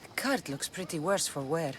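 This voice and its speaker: A woman's voice: low-pitched, animated, normal speed. An actress playing a wary, blunt ancient Greek mercenary woman in a video game cutscene. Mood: musing. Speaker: lead game character. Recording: noisy outdoor ambience, chirping insects.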